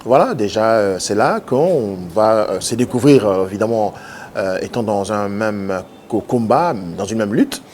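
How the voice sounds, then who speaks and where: A man. He answers interview questions earnestly, halting often with filler sounds and restarts. A faint noise sits under his speech. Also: lowish voice, expressive, darkish tone. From Democratic Republic of the Congo. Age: 50s. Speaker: politician guest.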